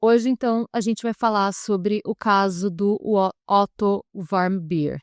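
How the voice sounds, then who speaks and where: A woman talks as a podcast co-host, relaxed and chatty, drifting into asides as she sets up a story. Her voice sits at an ordinary pitch. The recording is dry and close. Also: a little slow, smooth, no room sound. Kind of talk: casual storytelling chat.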